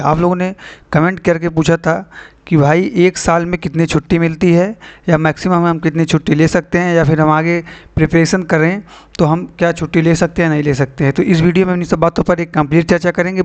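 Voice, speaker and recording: A man speaks in a smooth, very clear voice that sits a little high. He explains things informally to students as a channel host, instructing them like friends and restating the key points. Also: darkish tone. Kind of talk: informal explainer for exam aspirants.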